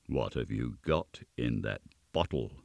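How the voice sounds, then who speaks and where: A man voicing a stern, mocking old goblin in a storybook reading, deep and deliberate, with sneering questions. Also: animated, gravelly, a little mellow, no room sound, exaggerated voice. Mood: stern, menacing.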